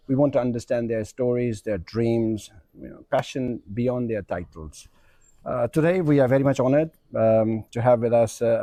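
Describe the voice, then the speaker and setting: A man's voice: medium pitch, dark tone, no echo. A man with a Pakistani accent hosting a semi-formal interview, speaking politely and deferentially to his guest. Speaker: podcast host interviewing a guest.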